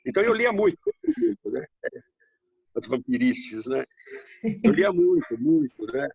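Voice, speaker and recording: An older man whose first language is Hungarian, speaking with a slight Hungarian-tinged accent and a heavy R, telling stories in an unhurried, rambling interview manner. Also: mid-range voice, big pitch swings, vocal fry, a little mellow, husky, no echo, highs cut off. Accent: slight Hungarian-tinged Brazilian Portuguese, heavy R. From Brazil, raised in São Paulo. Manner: unhurried, rambling, frequent tag questions. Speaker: guest writer. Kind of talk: casual interview storytelling.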